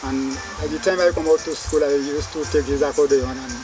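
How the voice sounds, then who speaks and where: Elderly musician, 70s; emphatic, raised pitch; music bed underneath. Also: male voice, fairly even intonation, fairly quick, poor audio. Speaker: folk musician. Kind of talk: interview testimony.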